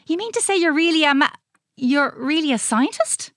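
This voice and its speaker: A young storybook child, curious and polite , put on by a woman reading aloud. The voice is fairly high with very lively pitch and an eager, questioning way of talking, in a dry recording. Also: normal speed, no echo.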